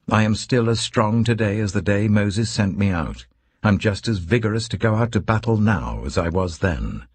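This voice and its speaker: A man's voice: low-pitched, husky, no room sound, voice pushed up. A British man voicing a vigorous, devout old warrior in his eighties in an audiobook, earnest and emphatic. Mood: proud, determined. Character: vigorous eighty-five-year-old male warrior elder, proud and devout.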